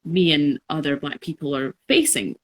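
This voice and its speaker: A woman in her twenties with a notably low, deep voice, talking through her thoughts on a podcast. She is reflective and unhurried, with plenty of ums and restarts as she works out what she means. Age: 20s. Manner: thoughtful, reflective, many fillers. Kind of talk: casual conversation.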